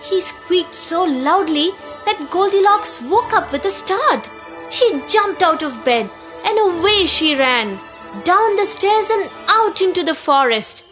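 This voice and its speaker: A woman narrating a scripted children's fairy tale as a storyteller, in a deliberate, expressive way. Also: very high voice, soft background music.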